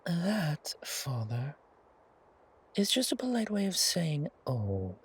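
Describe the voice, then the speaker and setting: A man voicing a young predatory creature, a vampire's devoted son, teasing and polite in a breathy half-whisper for a horror audio drama. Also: on the high side, wildly swooping pitch, takes it slowly, voice pushed up, exaggerated voice.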